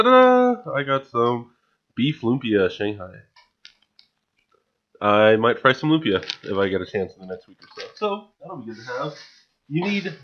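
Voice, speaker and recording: A man's voice: on the low side, dull audio. A young man chatting casually and enthusiastically in a home vlog, stumbling over his words now and then as he shows off his grocery haul. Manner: chatty, enthusiastic, with frequent filler restarts. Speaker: vlogger. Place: small home room, indoors. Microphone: studio mic on a stand, wearing headphones.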